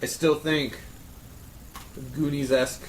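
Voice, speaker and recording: A man's voice: a little high, level tone, slow pace, echoey room, rough recording. A man in a casual group chat, giving his opinions with enthusiasm and loose, sweary phrasing. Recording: clear crowd chatter behind.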